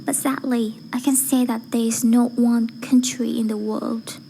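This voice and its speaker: Breathy, even-toned woman reciting a speech. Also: on the high side, measured pace, a little hiss or hum, low-quality recording. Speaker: amateur voice-acting student reciting a speech. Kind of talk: rehearsed formal speech recitation.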